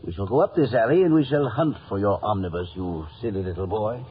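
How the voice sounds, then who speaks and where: An actor playing a pompous, bookish, condescending gentleman who blusters and stammers when flustered, in 1950s radio drama style. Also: fairly low pitch, brisk, a little hiss or hum, close to an everyday voice. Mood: dismissive. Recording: old radio broadcast, narrow band, music behind.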